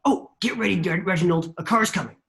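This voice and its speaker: A woman's voice: on the low side, quick pace, fairly bright tone. A woman playing a goofy, carefree, playful brother at a script reading. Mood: upbeat. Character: goofy, carefree brother devoted to pet lobster. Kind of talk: script table read.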